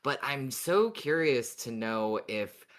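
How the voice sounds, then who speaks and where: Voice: medium pitch, big pitch swings, slow, a bit bright. A podcast co-host in casual, speculative fan chat, musing and thinking aloud.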